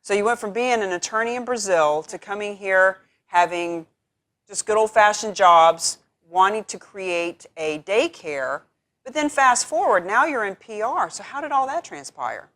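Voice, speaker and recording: A woman's voice: medium pitch, expressive, measured pace, a bit bright. A woman hosting a talk show interview, encouraging her guest and summing up her story.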